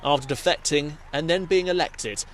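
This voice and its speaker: A man with a British accent reporting the news in a measured, emphatic broadcast style, both scripted and live. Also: fairly high pitch, a crowd in the background.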